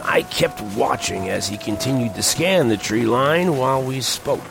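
Inquisitive, gravel-edged young reporter. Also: male voice, medium pitch, expressive, moderate pace, over music. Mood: narrating, watchful. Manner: hesitant, inquisitive. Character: eager, inquisitive young male newspaper reporter, a bit nervous. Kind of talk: western audio drama acting with first-person narration.

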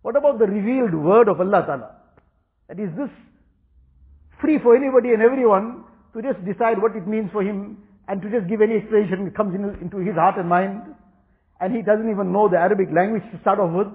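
A man's voice: high voice, fast. A man whose first language is Urdu, giving an earnest religious talk and repeating phrases for emphasis. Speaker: religious teacher. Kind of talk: Islamic talk. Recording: tinny phone-like recording.

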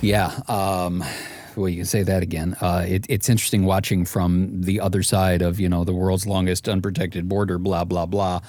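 Low-voiced man, polished podcast host. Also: level tone, moderate pace, darkish tone, no room sound. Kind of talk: audiobook-style narration and podcast hosting.

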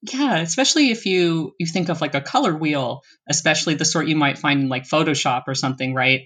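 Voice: medium pitch, a little fast, a bit bright. A young adult from the US East Coast speaking in a casual interview, thoughtful and rambling, often breaking into laughter. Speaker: interview guest.

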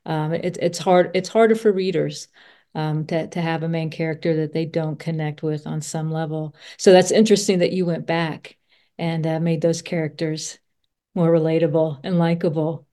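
A woman's voice: low voice, fairly even intonation, normal speed, dark tone. A woman podcast host chatting casually in an interview, thinking aloud and often rephrasing as she goes. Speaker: children's author.

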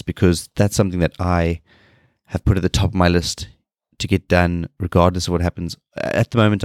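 A man in his thirties hosting a solo podcast, sounding nasal from a cold and talking in a low-energy, rambling way. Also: darkish tone, no room sound, clean studio sound. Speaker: podcast host.

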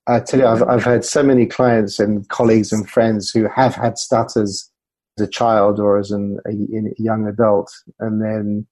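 A man's voice: on the low side, level tone, moderate pace, a little mellow, no room sound, low-quality recording. A man speaking as a communication coach in an interview, explaining things through anecdotes. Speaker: communication coach and former broadcaster.

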